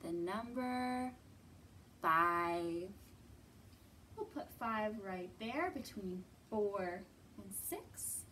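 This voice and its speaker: A woman in her thirties presenting a children's storytime as a librarian, talking simply to small children with drawn-out words and thoughtful hums. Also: mid-range voice, lively intonation, a bit bright, a little hiss or hum. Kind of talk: children's storytime teaching, read-aloud. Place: indoors in a library.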